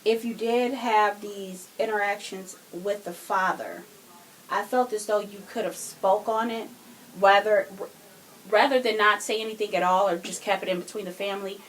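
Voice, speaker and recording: A woman's voice: medium pitch, fairly even intonation, normal speed, slight background noise, poor audio. A woman co-hosting a podcast, giving her opinions in casual discussion. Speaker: podcast co-host.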